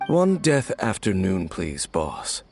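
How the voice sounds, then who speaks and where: A man playing a grave, brooding wanderer in a video game, speaking in a solemn, formal, measured way. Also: low-pitched, wildly swooping pitch, darkish tone, soft background music, heavily acted. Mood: solemn, probing.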